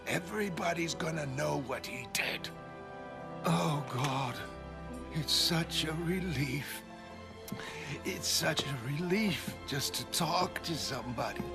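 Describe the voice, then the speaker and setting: A man's voice: a little high, vocal fry. A man playing a bitter, sickly, wronged woman in a motion comic, speaking in a wounded, confessional way. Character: bitter, sick, wronged ex-girlfriend, a smoker. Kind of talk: motion comic dramatic reading.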